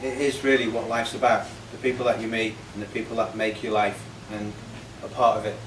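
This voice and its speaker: Echoey, halting man in his fifties. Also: lowish voice, flat delivery. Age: 50s. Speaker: birthday honoree giving a speech. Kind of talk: impromptu thank-you speech. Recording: echoey, noisy room, distant microphone.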